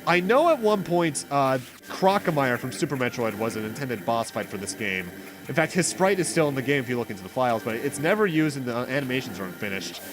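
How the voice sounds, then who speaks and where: Casting: male let's play host, lively intonation, casual explanatory rambling, self-correcting, faint music underneath. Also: medium pitch. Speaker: host of a video game playthrough series. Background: game music.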